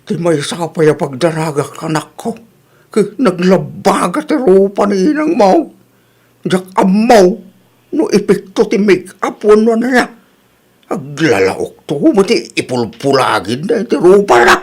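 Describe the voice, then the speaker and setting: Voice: mid-range voice, sing-song, unhurried, breathy edge. A performer with an Ilocano accent playing a grumbling, complaining old husband and father in a radio comedy drama, with exaggerated exclamations.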